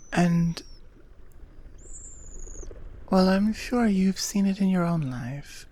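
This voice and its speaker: A woman playing a regal, patient Amazonian queen, proud and wary of humans, in an intimate ASMR roleplay with measured, breathy half-whispers. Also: on the low side, dark tone, exaggerated voice. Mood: patient, explanatory.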